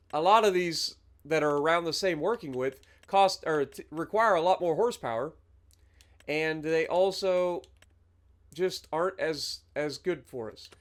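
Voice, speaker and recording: A man with a stuffy, nasal voice giving easygoing commentary over his farming game, thinking aloud with fillers. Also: on the high side. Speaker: gaming YouTuber. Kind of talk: casual let's-play commentary.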